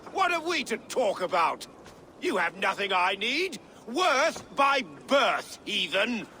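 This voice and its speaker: A haughty noble villain from a video game. He speaks slowly in a very high, sharp voice, full of mockery. Some ambient noise sits behind him. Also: big pitch swings, exaggerated voice. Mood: scornful. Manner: haughty, mocking. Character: arrogant, sneering noble-born lord, a villain. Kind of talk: dramatic video game acting.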